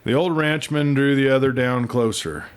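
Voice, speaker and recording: A man reading a western novel aloud as an expressive, folksy storyteller. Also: medium pitch, moderate pace, clear, resonant tone. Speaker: audiobook reader and storyteller.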